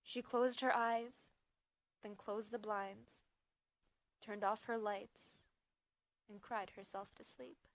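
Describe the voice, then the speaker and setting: A woman's voice: mid-range voice, steady tone. Woman performing dramatic slam poetry. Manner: dramatic, emphatic delivery in short measured phrases. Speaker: slam poet.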